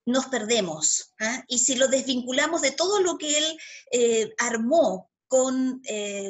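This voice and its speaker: A middle-aged woman from Argentina, a historian guest, explaining history in a measured way over a video call from home and tagging her points with "¿ah?". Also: on the high side, husky. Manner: measured, frequent "¿ah?" tags. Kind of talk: academic explanation. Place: home room. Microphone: video call laptop mic.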